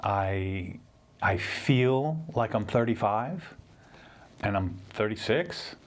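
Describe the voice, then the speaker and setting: A man's voice: lowish voice, lively intonation, takes it slowly. A man in his fifties, a surgeon hosting his own channel, giving an earnest, rambling personal update with frequent restarts. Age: 50s. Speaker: orthopedic surgeon and channel host. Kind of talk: casual personal update to camera.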